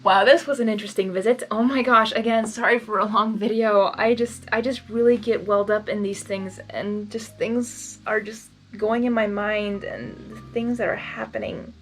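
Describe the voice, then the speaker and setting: A woman who hosts fan fiction readings, moving between expressive story narration and emotional, casual reaction. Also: mid-range voice, normal speed, hardly any pauses, soft background music. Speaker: fan fiction reader and channel host.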